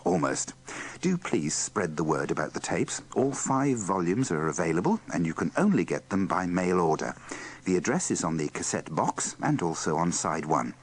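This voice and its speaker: A man's voice: deep voice, very animated, normal speed. A man presenting scripted narration with a dry, tongue-in-cheek delivery. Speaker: presenter of a radio bloopers compilation.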